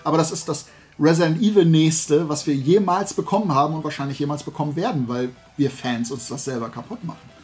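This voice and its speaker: Man in his forties, fairly high voice, colloquial vlog rant, emphatic delivery, faint music. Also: brisk. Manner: animated rambling, colloquial, emphatic. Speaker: YouTube host of a toy collecting channel. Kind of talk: casual opinionated chat to camera. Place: small indoor room lined with shelves of toys.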